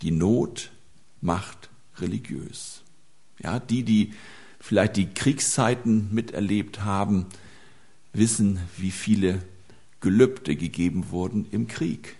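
A man's voice: on the low side, creaky, darkish tone. A man preaching a sermon to a gathering, telling anecdotes with small hesitations.

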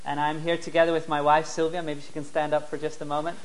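Young preacher from New Zealand. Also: male voice, a little high, level tone, low-quality recording. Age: 20s. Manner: friendly, speaks in short phrases with pauses for translation. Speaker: evangelist preacher. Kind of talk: sermon given through an interpreter. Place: church.

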